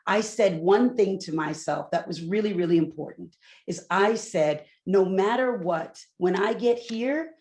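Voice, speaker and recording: A middle-aged woman from Newport, Rhode Island, a loud and candid corporate executive telling her career story on a panel with asides to the audience. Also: fairly low pitch. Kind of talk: panel talk, personal story.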